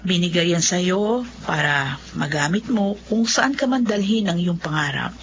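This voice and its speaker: Encouraging lawyer, muffled recording. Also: female voice, fairly low pitch, animated. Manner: measured, advising. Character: kindly, encouraging lawyer benefactor. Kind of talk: Filipino radio drama acting. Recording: muffled, noisy radio drama.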